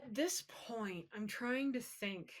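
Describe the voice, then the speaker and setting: A woman's voice: a little high, takes it slowly. A woman co-hosting a podcast, chatting casually about pop culture in an informal, opinionated way. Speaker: podcast co-host. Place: live-streamed podcast.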